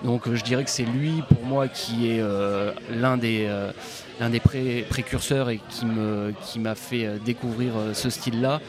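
A man's voice: mid-range voice, steady tone, unhurried. Hesitant male musician talking in a noisy bar. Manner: hesitant, many fillers and restarts. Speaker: guest musician. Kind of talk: casual interview. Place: folk club bar. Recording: live bar recording with crowd chatter. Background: crowd chatter.

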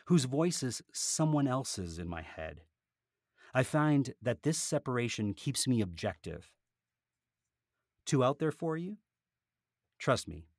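A man speaking as a podcaster and author, telling things in an animated, conversational way. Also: medium pitch, no echo, clean studio sound. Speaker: podcaster and author, interview guest. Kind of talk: casual conversational interview, with a read-aloud book passage.

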